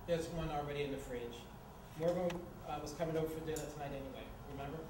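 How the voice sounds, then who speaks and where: A man's voice: a little high, fast, close to an everyday voice. A man acting an exasperated, sarcastic housemate of about thirty in a staged screenplay reading. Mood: wry. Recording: echoey, noisy room from far off.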